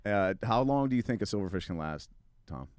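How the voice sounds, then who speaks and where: A man's voice: on the low side, expressive, fairly quick, a little mellow, no room sound. A man chatting casually as a sidekick guest on a talk show. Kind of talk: casual chat.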